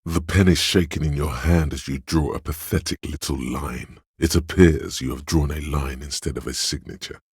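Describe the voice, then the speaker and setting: Very deep, husky inner voice. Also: male voice, moderate pace, hardly any pauses, dry recording, clean studio sound, exaggerated voice. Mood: measured, wry. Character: wry, erudite inner voice narrating a detective's thoughts. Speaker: game narrator and inner-voice actor. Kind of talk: scripted second-person narration for a role-playing video game.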